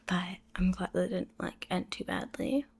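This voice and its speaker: A woman with a breathy, whispery voice, improvising an ASMR roleplay as casual, teasing banter. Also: fairly low pitch, normal speed. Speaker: ASMR roleplay performer playing sisters.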